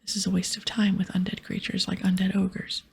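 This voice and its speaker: A woman voicing a scheming, self-justifying male wizard from a fantasy game in a breathy whisper. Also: medium pitch, completely flat delivery, a little fast. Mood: explaining. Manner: pleading, then dryly explanatory.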